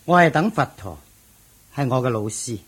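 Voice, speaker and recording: An actor playing a stern, chaste monk in a Cantonese radio drama, firm and indignant, with a Hong Kong accent. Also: male voice, medium pitch, animated, moderate pace, breathy edge.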